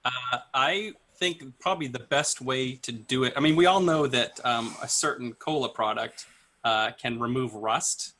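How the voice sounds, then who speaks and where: Bright-toned man with mock-knowing delivery. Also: mid-range voice, a little slow. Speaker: quiz panelist. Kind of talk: improvised tongue-in-cheek advice.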